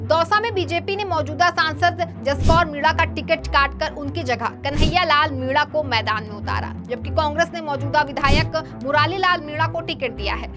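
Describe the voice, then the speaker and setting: A woman's voice: high voice, moderate pace, hardly any pauses. A woman news presenter reading scripted political news narration. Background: background music.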